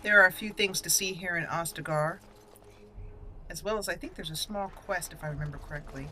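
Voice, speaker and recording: A woman's voice: lowish voice, brisk, pushed and bright, slight background noise. A woman hosting a gaming channel, giving let's play commentary with roleplay as she plays.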